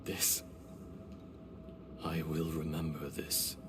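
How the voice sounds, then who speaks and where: A man voicing the gentle, resigned remnant of an ancient dragon in a fantasy game, speaking formally and with measured solemnity. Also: extremely low pitch, monotone. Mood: weary, fond. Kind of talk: scripted fantasy game voice acting. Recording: loud music behind the voice.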